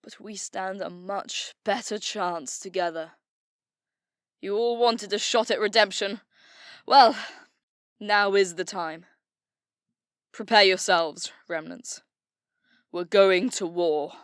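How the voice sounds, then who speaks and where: A woman's voice: medium pitch, clean tone, no room sound, studio quality, natural voice. A woman playing a resolute leader rallying her team in an audio drama. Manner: commanding, measured.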